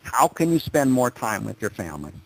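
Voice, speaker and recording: A man's voice: mid-range voice, sing-song, brisk, vocal fry, husky, poor audio. Man coaching with probing, directive questions. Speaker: coach leading a group call. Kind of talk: coaching on a group conference call. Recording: telephone conference call.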